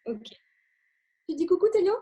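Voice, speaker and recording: A woman's voice: extremely high pitch, big pitch swings, normal speed, dark tone, highs cut off. A woman in her twenties giving a casual testimony over a video call. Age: 20s. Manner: casual and chatty.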